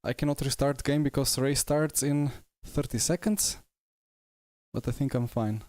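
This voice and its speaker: A man's voice: medium pitch, steady tone, unhurried, darkish tone, no room sound, clean studio sound. A man with a Slovenian accent streaming a sim race on a headset mic, talking to his viewers in short, broken-up bursts. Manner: talks to himself in short fragmented bursts. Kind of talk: live chat while sim racing. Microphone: wireless headset. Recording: game car noise underneath.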